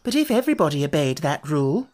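A man's voice: a little high, sing-song, fast talker, darkish tone. A man voicing a polite, earnest young girl in an audiobook, prim and a little argumentative. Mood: timid, pleading. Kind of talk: audiobook character voice.

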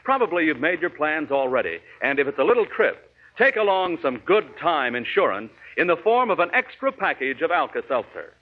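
An American man delivers a scripted 1940s radio commercial. His voice sits fairly high and swings widely in pitch. The sound is narrow and tinny, like an old broadcast. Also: big pitch swings, brisk. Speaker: radio announcer.

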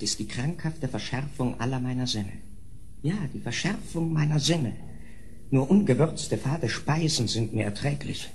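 An actor playing a sickly, melancholic aristocrat in a German radio play, morbidly oversensitive, speaking in agitated, halting bursts. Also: male voice, mid-range voice, natural voice. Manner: agitated, broken, halting speech with sudden outbursts. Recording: noisy old audio drama record.

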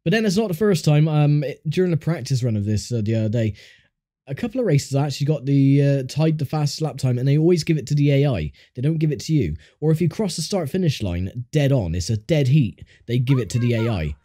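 A man's voice: medium pitch, expressive, fast, mellow. Congested man, dry game commentary. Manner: dry, wry grumbling about the game. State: congested from a sinus infection. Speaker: gaming YouTuber. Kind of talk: casual gameplay commentary. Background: game music.

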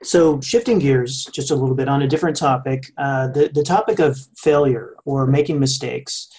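A man's voice: medium pitch, normal speed, darkish tone. Brief: male host, polite and slightly hesitant, asking questions. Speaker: interviewer, host. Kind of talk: interview questions.

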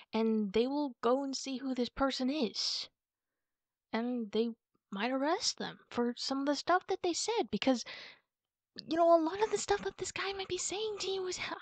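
A woman with a slightly high voice speaks as a supportive friend in a comfort roleplay. Her delivery is informal and reassuring, with halting pauses. The sound is close and dry, with little room around it. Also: no room sound. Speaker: ASMR roleplay performer playing a supportive friend. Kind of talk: casual comfort ASMR roleplay, one side of a conversation.

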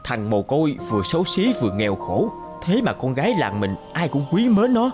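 Man playing a spiteful villager, raised pitch. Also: sing-song, brisk, natural voice. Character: jealous young village man, spiteful and scheming. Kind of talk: fairy-tale cartoon storytelling. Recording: loud music under the voice.

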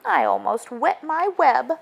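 A woman's voice: high voice, sing-song, slow, creaky, voice pushed up, full character voice. A woman voicing a small, anxious, apologetic spider in a storybook. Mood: guilty. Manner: apologetic, earnest.

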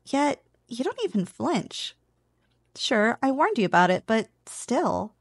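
Woman playing a teasing slime girl roommate, creaky voice. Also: on the high side, big pitch swings, slow pace, no room sound, studio quality, full character voice. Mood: casual, explaining. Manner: teasing, chatty, conversational with chuckles. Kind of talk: ASMR roleplay, one-sided casual conversation.

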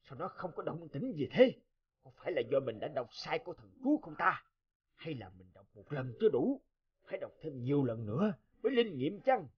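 A man's voice: high-pitched, slightly rough, lo-fi sound, full character voice. A man voicing a wicked, short-tempered horned demon in a cartoon, theatrical as he mutters and barks commands. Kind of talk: cartoon voice acting. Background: background music.

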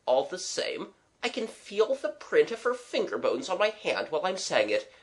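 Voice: mid-range voice, sing-song, normal speed, voice pushed up, close to an everyday voice. A reader voicing a flustered working-class young man, gasping, agitated and apologetic, in a storybook. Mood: dazed, anxious.

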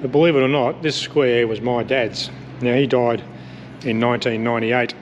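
A man's voice: medium pitch, steady tone, moderate pace, dull audio. A man casually talking through his aircraft build as he works, thinking aloud with plenty of hesitations. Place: large metal hangar workshop. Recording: echoey hangar, faint background noise.

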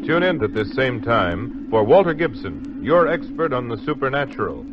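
A man's voice: mid-range voice, big pitch swings, moderate pace, very noisy. A man announcing a programme in dramatic 1950s radio style. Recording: dull old radio recording.

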